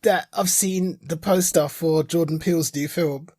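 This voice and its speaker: A man with a husky voice, co-hosting a podcast with wry, joking, casual geek chat. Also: fairly high pitch, steady tone, measured pace, darkish tone, dry recording, clean studio sound. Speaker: podcast co-host.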